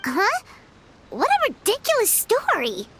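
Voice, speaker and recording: A woman's voice: very high-pitched, huge pitch swings, unhurried, music in the background, full character voice. A woman voicing a tiny, blunt, chirpy female fairy companion in a game, who speaks of herself in the third person. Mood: skeptical. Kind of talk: game voice acting.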